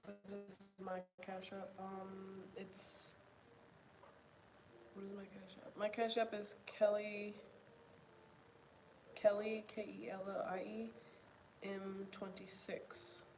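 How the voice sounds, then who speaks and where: Woman, soft-spoken, reflective, pausing, near-whispering, at home, mid pitch, faint background ambience. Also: level tone, background noise. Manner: pauses to think, drops to near whispers. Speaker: video journaler. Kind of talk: reflective casual talk to camera.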